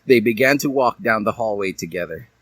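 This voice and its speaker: A man's voice: fairly low pitch, animated, brisk, very noisy. A man narrating fan fiction as a comic dramatic reading, with a theatrical, mock-serious delivery.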